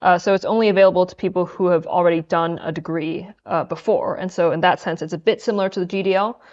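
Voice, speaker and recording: A woman's voice: fairly low pitch, fairly even intonation, fast, darkish tone, dull audio. A young adult woman from Hong Kong, a podcast guest answering questions thoughtfully and with some hesitation. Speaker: podcast guest, law student. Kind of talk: casual interview chat.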